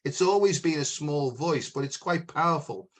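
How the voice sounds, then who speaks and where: A man hosting a spiritual chat show with a loud, booming voice, talking frankly and emphatically. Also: moderate pace. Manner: emphatic, frank, says "you know" often. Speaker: podcast host. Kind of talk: casual spiritual conversation.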